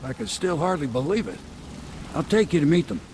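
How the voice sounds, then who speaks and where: A man playing a weathered, kindly working-class boatman in a video game, plain-spoken and unhurried. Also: a little high, expressive, noisy background. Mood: welcoming. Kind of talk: video game character dialogue. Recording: loud music behind the voice.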